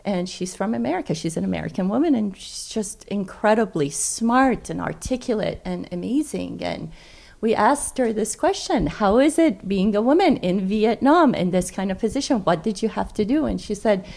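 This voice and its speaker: Middle aged woman podcast guest, really creaky voice, normal pitch, chatty and explaining stuff. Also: lively intonation, normal speed, a little mellow. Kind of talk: conversational interview. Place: dining room at home.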